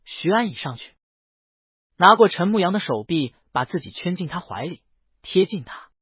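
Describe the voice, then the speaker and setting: A man's voice: sits high, sing-song, normal speed, slightly rough, dry recording, dull audio. A man narrating a scripted romance novel as an audiobook, reading close to the mic in a dry recording. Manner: reads character dialogue within the narration.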